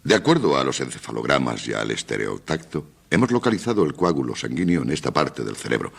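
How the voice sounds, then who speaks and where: An actor in a radio drama playing an authoritative, methodical doctor with a gravelly, husky voice and a formal, measured way of explaining. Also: male voice, extremely low pitch, very animated, full character voice. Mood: methodical, explanatory. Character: authoritative male doctor briefing a surgical expedition, calm, methodical.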